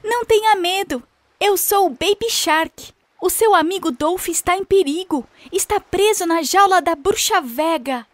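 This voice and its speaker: A woman voicing a kind young male baby shark in a children's cartoon, speaking softly and reassuringly. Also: extremely high pitch, a little slow, no room sound. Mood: urgent. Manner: soft, reassuring.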